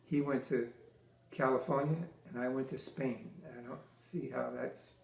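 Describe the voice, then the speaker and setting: An older man from Massachusetts, a military veteran, answering interview questions with halting restarts, many fillers and dry teasing humor. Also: medium pitch, steady tone, creaky, darkish tone. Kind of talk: oral history interview. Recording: echoey room.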